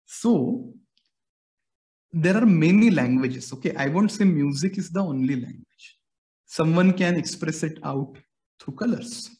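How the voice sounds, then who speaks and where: A young man from India talks through his ideas in Indian-accented English, his first language being Marathi. His voice sits a bit higher than most men's. He explains patiently, like a teacher walking a student through everyday comparisons. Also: expressive, a little slow, darkish tone. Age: young adult. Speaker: music educator. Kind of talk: explanatory interview answers.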